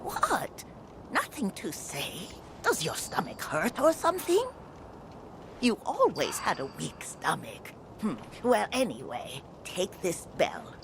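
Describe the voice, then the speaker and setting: A woman's voice: medium pitch, wildly swooping pitch, slow, creaky, noisy background, heavily acted. Swooping, addled old mother. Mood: muddled, fond. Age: older. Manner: rambling, wandering, fussing. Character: confused, doting old mother with a failing mind. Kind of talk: video game cutscene acting.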